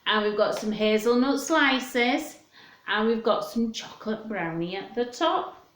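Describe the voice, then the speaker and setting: A woman's voice: a little high, lively intonation, unhurried, fairly bright tone. A woman hosting storytime for young children in a sing-song, questioning manner, chatting and reading aloud. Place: indoors at home. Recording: echoey home room.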